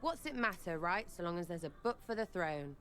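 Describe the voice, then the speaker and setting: A woman's voice: on the low side, lively intonation, brisk, fairly bright tone, echoey room. A woman voicing a cheeky, irreverent young rogue in a video game, brash and slangy with a British accent. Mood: mocking. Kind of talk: video game character dialogue.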